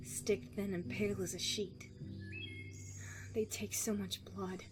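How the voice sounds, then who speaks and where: A woman playing a guarded, sickly young survivor of cruel experiments in an audio drama, speaking in a wry, measured, confiding way. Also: medium pitch, flat delivery, over music, close to an everyday voice. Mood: grim, confiding.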